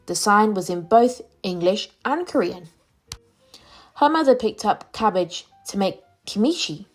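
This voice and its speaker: A woman reading a children's storybook aloud as a storyteller, with expressive, clearly phrased narration. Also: mid-range voice.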